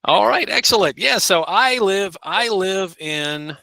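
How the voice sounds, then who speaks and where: Male ESL teacher, lively, high, bright; patient, models phrases slowly. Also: vocal fry. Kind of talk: encouraging classroom instruction.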